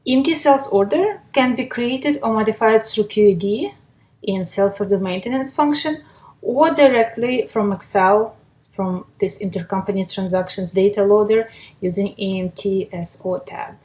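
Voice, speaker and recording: Woman presenting a methodical software walkthrough. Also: mid-range voice, normal speed. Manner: methodical, explanatory. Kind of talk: step-by-step software demonstration.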